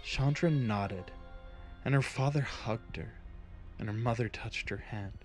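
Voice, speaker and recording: A man who hosts the channel reading a fantasy story aloud in a measured, deliberate way. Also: mid-range voice, faint music behind. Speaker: channel host and narrator. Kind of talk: scripted story narration.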